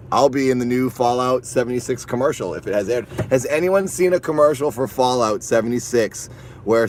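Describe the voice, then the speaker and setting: A man from Massachusetts, hoarse and raspy, chatting casually on a phone live stream outdoors while walking and driving. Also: fairly low pitch, a little hiss or hum. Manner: rambling, chatty, laughs often.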